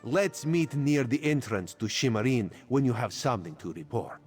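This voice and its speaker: An actor playing a sly, playful cat-folk spy in a video game, coaxing and speaking of himself in the third person. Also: male voice, medium pitch, expressive, moderate pace, natural voice. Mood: businesslike, wry. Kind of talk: video game character dialogue. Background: game music.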